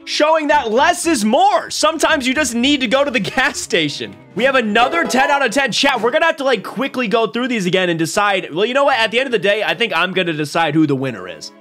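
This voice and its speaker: A young man in his twenties hosting a stream, reacting to clips with excited, laughing commentary from a small home room. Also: very high voice, quick pace, few pauses, faint music behind. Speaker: streamer host. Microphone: boom mic on arm.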